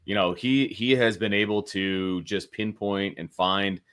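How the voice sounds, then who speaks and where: A man's voice: on the low side, measured pace, fairly bright tone, clean tone. A man casually talking football as a sports show co-host, opinionated and conversational, repeating phrases for emphasis.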